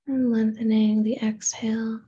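A woman therapist leading a guided meditation in a gentle, unhurried manner, with pauses and open questions. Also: fairly high pitch, level tone, breathy edge, highs cut off.

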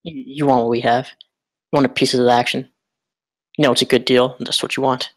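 Determined young-gambler type male actor: flat, even intonation, matter-of-fact, understated table read. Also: mid-range voice, a little fast, lightly acted. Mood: quietly assured. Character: nervous but determined male shop clerk gambler. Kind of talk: script table read acting.

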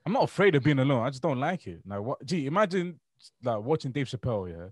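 A man's voice: mid-range voice, sing-song, fast, slightly rough, no room sound. A young man with a London accent, chatting casually and in slang as a podcast co-host. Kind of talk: casual chat. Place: indoors, remote video call.

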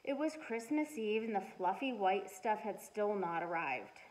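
A middle-aged woman reading a children's storybook aloud with expressive, emphatic storytelling. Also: medium pitch, moderate pace. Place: living room, indoors. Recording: noisy living room recording.

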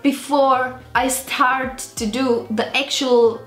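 Young woman, Czech accent, high voice, chatty rambling vlog style, slightly unhurried pace, roomy sound. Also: steady tone, a bit bright. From Czech Republic. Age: 20s. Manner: chatty, rambling, self-mocking. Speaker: video blogger presenting a clothing haul. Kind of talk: casual chat to camera. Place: small indoor beauty room with vanity. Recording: roomy sound, music underneath.

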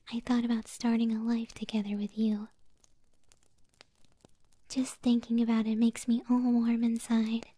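A woman's voice: on the high side, dry recording, close to an everyday voice. Woman as whispering, doting yandere moth girlfriend. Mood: tender, obsessive. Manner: soft breathy whispering, sweet and cooing. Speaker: ASMR roleplay performer. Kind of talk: whispered ASMR roleplay. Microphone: close microphone.

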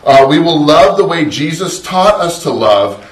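A man's voice: fairly high pitch, animated, a little slow. A middle-aged man preaching a sermon as pastor of a small church, earnest and conversational, often restarting words. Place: small church sanctuary, indoors. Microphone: far camera, no visible mic. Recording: roomy echo from far off.

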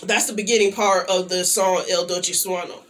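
A young woman chatting casually to the camera in a small room, clearing her throat now and then. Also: lowish voice, poor audio. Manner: slow, relaxed talk with frequent throat clearing. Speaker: channel host. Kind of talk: casual vlog chat. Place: small indoor room, plain backdrop.

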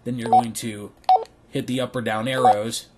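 A man giving a casual hands-on tutorial as a video creator, thinking aloud haltingly in a small bedroom. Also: mid-range voice, little pitch movement, very noisy. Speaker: video creator demonstrating radio gear.

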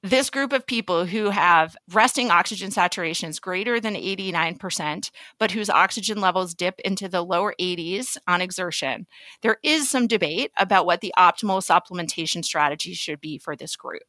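A woman speaking as an expert medical guest in an interview, explaining in an articulate, measured way. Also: lowish voice, pushed and bright, dry recording.

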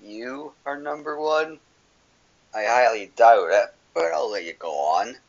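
A man voicing a weary, grim animated hero, cold and defiant, in an amateur character demo with a brooding, deliberate delivery. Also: on the low side, highs cut off.

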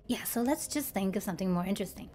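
A woman's voice: medium pitch, lively intonation, fast talker, mellow, a little hiss or hum. Woman, thirties, from Germany, casual vlog talk, chatty, explains step by step, desk microphone, living room. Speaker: YouTuber. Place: bright living room indoors. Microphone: desk microphone in front.

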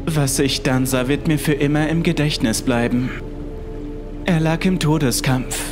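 A man's voice: a little high, unhurried. A man reading a scripted first-person horror story as its narrator. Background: music.

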